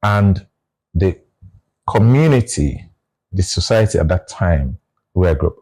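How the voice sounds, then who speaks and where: A Nigerian man talking through his views in a relaxed conversation, often restarting his phrases. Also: low voice, unhurried, breathy edge. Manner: reflective, restarts phrases, explains with examples. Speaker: guest. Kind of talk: casual conversation.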